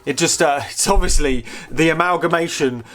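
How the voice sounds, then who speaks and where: Higher-pitched man in casual, rambling show-and-tell. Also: noisy background. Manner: rambling, halting, stammering over repeated words; curious, easily amused enthusiasm. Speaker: host of a vintage technology channel.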